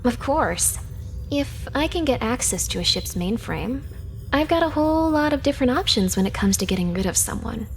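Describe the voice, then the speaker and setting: A woman's voice: a little high, expressive, normal speed, faint music behind. Cocky, dry young hacker woman. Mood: smug, menacing. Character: cocky, sardonic young female hacker in space crew. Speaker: video game character actor. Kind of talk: scripted video game character dialogue.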